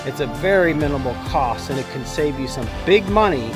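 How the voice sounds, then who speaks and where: A man's voice: a little high, expressive, a little fast, music in the background. A man in his fifties giving a casual, plain-spoken instructional walkthrough as a vlog host, recorded outdoors on a handheld camera. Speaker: vlog host showing a home build. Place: outdoors at a concrete foundation construction site. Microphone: handheld camera at arm's length.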